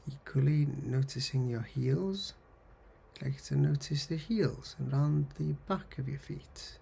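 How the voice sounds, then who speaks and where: Male meditation guide: unhurried, gravelly and husky, soothing, gently instructive, medium pitch. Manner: soothing, gently instructive, pausing between short phrases. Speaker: counsellor guiding mindfulness. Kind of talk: guided meditation, body scan.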